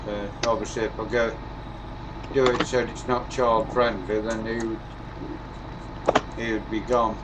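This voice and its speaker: A man's voice: fairly low pitch, unhurried, pauses often. A man who streams games, chatting casually with other players over voice chat. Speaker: gaming channel owner and streamer. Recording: distant mic with steady hum and noise.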